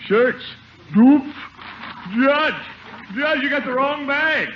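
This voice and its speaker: An actor playing a blustery, aggrieved middle-aged American uncle in 1950s radio comedy, grumbling and exclaiming theatrically. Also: male voice, very high voice, takes it slowly. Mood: frantic. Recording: muffled, noisy 1950 radio recording.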